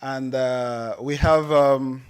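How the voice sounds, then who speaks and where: A man's voice: mid-range voice, fairly even intonation, extremely slow, clean tone. A man introducing a survey at a conference, hesitant and with many fillers. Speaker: presenter. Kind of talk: conference presentation.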